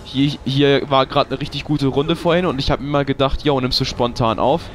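A man's voice: mid-range voice, steady tone, moderate pace. Give me a young man with a cold, ranting and cursing over his game, with faint music underneath. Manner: swearing, frustrated ranting. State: has a cold. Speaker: let's play host. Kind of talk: casual gameplay commentary.

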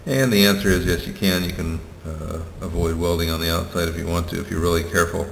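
Low, gravelly man in an echoey room. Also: steady tone, slight background noise. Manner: hesitant, plain-spoken, many pauses and fillers. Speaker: hobby welder showing his projects. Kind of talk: casual show-and-tell narration. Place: tiled room at home. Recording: roomy echo with long reverb and faint ambience.

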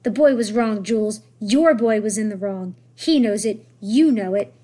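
A woman's voice: on the high side, lively intonation, brisk. A woman voicing a blunt, imperious old French grandmother from a children's novel, scolding with emphatic, dismissive repetition. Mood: fierce yelling. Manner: emphatic, dismissive, repeats words.

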